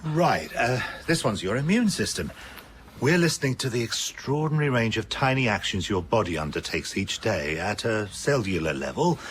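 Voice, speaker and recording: Radio play: research doctor, measured and courteous, lowish voice with lively intonation. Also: male voice, a little hiss or hum. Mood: soothing. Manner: polite, reassuring, measured. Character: reassuring, slightly uneasy male research doctor. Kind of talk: radio drama acting.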